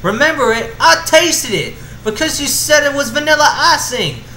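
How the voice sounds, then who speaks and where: A man voicing an excitable young filly, a cartoon little-sister pony, in an echoey room. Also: very high-pitched, moderate pace, voice pushed up, exaggerated voice. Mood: indignant. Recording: roomy echo with clear background hum.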